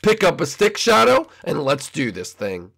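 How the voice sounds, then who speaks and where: A man voicing a cocky young turtle villain with a loud, bratty, taunting manner in an amateur comedy skit. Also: mid-range voice, huge pitch swings, normal speed, gravelly, breathy edge, pitched above the performer's own voice, heavily acted. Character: Bowser Junior, brash cocky young male turtle villain.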